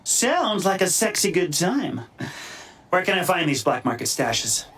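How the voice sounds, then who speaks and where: A man's voice: sits high, very animated, a little slow, exaggerated voice. A man playing a cocky, crude, wisecracking vigilante hacker in a video game, theatrical and teasing, with mock voices. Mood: playful, cocky. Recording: loud music behind the voice.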